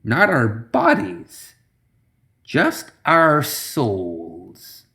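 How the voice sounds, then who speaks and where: A man voicing a scheming swamp witch in a fantasy audiobook, with a slow, drawn-out, portentous delivery. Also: medium pitch, huge pitch swings, sharp tone, heavily acted. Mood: ominous, deliberate. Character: Zoraida, scheming deliberate swamp witch woman.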